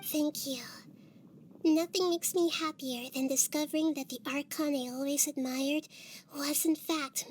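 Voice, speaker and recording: A woman's voice: high-pitched, a little hiss or hum. A woman voicing a small, childlike young goddess in an anime fantasy game, earnest, curious and polite.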